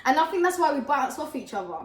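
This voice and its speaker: A young woman from Colombia co-hosting a chat show, loud and hyper, laughing often as she talks. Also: high-pitched, big pitch swings, fast talker, reverberant. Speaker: podcast co-host. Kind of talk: casual chat.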